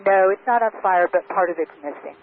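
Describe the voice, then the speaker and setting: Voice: mid-range voice, fairly quick. An airline pilot speaking in a composed, matter-of-fact way over an aircraft radio. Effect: aircraft radio transmission. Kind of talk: radio communication with air traffic control. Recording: noisy, narrow cockpit radio transmission.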